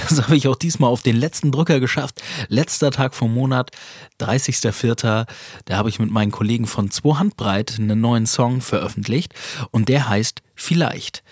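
Chatty podcast host, stuffy from a cold, husky and dry, laughs easily. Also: male voice, medium pitch, darkish tone, no echo. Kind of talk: casual solo podcast talk. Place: small home studio.

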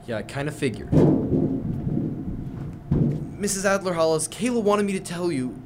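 A young actor playing a cocky, sarcastic teenage football player. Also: female voice, on the low side, expressive, moderate pace, close to an everyday voice. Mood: resigned. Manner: brash, sarcastic. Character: cocky teenage jock Chris. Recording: loud background noise.

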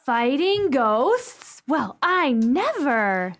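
A woman's voice: sits high, very animated, extremely slow, heavily acted. A woman playing a curious, easily astonished listener to a ghost tale in a radio play, theatrical and inquisitive.

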